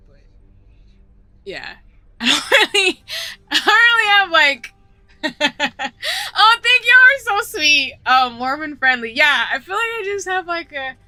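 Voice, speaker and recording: A woman's voice: sits high, sharp tone, dry recording. A young woman with a Southern US accent chatting casually on a live stream while gaming, giggly and rambling with lots of fillers. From southern United States. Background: faint background music.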